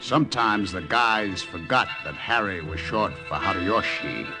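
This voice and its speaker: A man's voice: low voice, lively intonation, a little slow. A man playing a composed, patient Japanese American airman and narrator in a 1950s radio drama. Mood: reflective narration. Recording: old 1950s radio broadcast with music.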